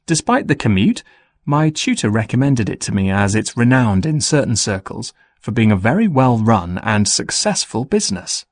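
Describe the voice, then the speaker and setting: Male actor as busy young student: friendly, animated, mid-pitched, carefully enunciated test dialogue. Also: normal speed, no room sound, studio quality. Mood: earnest, friendly. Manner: clearly enunciated, scripted. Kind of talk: scripted dialogue for an English listening test.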